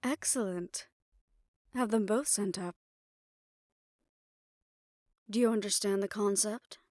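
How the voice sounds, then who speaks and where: A woman's voice: medium pitch, a little mellow, dry recording, clean studio sound. A woman playing a poised, worldly hotel manager in an assassin underworld audio roleplay, speaking in a composed, gracious and knowing manner. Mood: gracious, playful. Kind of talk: roleplay audio drama acting.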